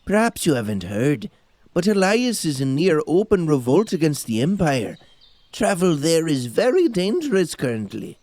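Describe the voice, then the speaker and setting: A man's voice: on the high side, very animated, moderate pace, a little mellow, dry recording. A man playing a formal, courteous but condescending gatekeeper in a fantasy audio drama, with mannered speech. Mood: cautioning.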